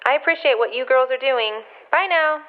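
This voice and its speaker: A woman's voice: high voice, moderate pace, natural voice. A woman playing a polite, hopeful caller leaving a voicemail in a radio play. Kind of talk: scripted radio play acting. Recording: phone-like voicemail line.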